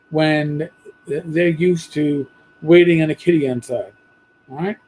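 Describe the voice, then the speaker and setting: A man hosting a podcast, talking bluntly and casually with a hoarse voice after losing it. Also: high voice, dark tone, rough recording. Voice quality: husky. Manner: blunt, profane, rambling. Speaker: podcast host. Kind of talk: casual monologue.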